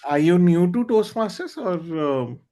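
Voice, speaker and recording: A man's voice: sits high, big pitch swings, moderate pace, mellow. Man hosting an online club meeting. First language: Hindi. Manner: earnest, candid, with fillers and restarts. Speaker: meeting host. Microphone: video call.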